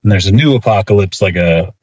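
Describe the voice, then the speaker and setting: A man with a fairly low voice talks as a guest in a casual interview. His explanations ramble along informally, hedged as he goes, and the sound is close and dry. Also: fast talker, darkish tone, dry recording. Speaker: interview guest, comics writer.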